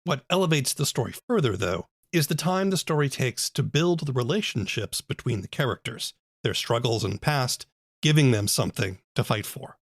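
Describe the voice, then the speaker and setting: A man working as a podcast host, asking questions and presenting in a measured, prepared style. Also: mid-range voice, lively intonation, breathy edge, no room sound, studio quality. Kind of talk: interviewer and scripted podcast narration.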